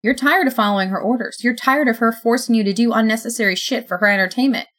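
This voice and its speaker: A woman voicing a tall, brash young woman yelling in screechy, angry bursts. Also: on the high side, fast talker, pitched above the performer's own voice, natural voice. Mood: pleading, defiant.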